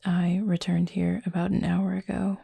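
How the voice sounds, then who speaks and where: A woman voicing a gentle, formal cupid roommate in an intimate roleplay audio, soft, breathy and husky, speaking in a measured, earnest way. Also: fairly low pitch, flat delivery, dry recording, full character voice. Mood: curious, reserved.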